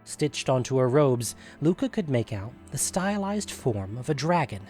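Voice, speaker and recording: A man's voice: medium pitch, very animated, measured pace, darkish tone, soft background music. A man reading a scripted fantasy story as a dramatic narrator.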